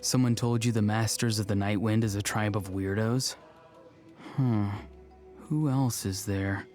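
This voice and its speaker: A man playing a gentle, odd, sleepy young farmer in a fantasy video game, speaking softly and earnestly with deadpan musing. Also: fairly low pitch, unhurried, faint music behind, lightly acted. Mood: calm, musing. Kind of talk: video game character voice lines.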